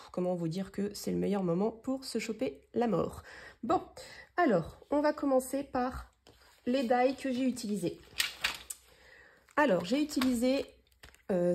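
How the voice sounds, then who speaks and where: A woman from France hosts a relaxed craft tutorial while nursing a head cold. Her voice is mellow and a little husky, and she rambles along with plenty of fillers as she works. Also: medium pitch. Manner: chatty, rambling, lots of fillers. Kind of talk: casual hands-on craft tutorial.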